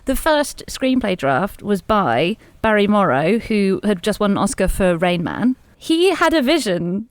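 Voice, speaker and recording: A woman's voice: medium pitch, big pitch swings, a little slow, few pauses, no room sound. Woman podcaster, close dry sound. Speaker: podcast co-host. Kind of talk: conversational film history discussion.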